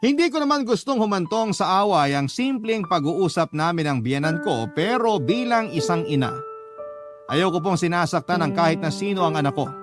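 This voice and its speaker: A man's voice: sits high, expressive, normal speed. A Filipino man reading a troubled young mother's letter as dramatic first-person radio narration. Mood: earnest, troubled. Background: music bed.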